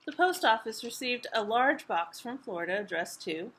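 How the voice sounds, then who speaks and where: A woman reading a picture book aloud to toddlers in an expressive storytime manner, pausing to put questions to the young listeners. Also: on the high side. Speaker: children's librarian reading a storytime.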